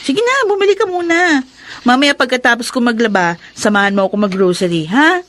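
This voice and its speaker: A woman's voice: on the high side, animated, a little fast, fairly bright tone, a little hiss or hum. A Filipino woman acting a caring, teasing, practical older sister in her twenties in a radio drama. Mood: chatty, practical. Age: 20s.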